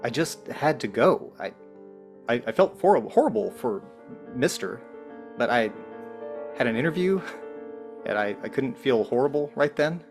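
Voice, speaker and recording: A man's voice: mid-range voice, very animated, normal speed, long pauses. A man acting as a shaken, guilt-ridden, cornered character in a script table read, hesitant and stammering. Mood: shaken, guilty. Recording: music playing behind the voice.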